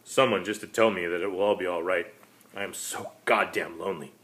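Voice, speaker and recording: A man's voice: low voice, fairly even intonation, a little fast, a little hiss or hum. Man playing a lonely, despairing male vampire, intense voice. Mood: lonely, bitter. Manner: pleading, rising into manic shouting. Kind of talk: dramatic reading of a short story.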